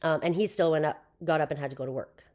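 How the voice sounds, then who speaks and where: Guest: young woman, lowish hoarse voice, loose chatty delivery. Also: fast, warm tone. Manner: chatty, with frequent fillers and laughs. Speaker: podcast guest. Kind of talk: casual interview chat.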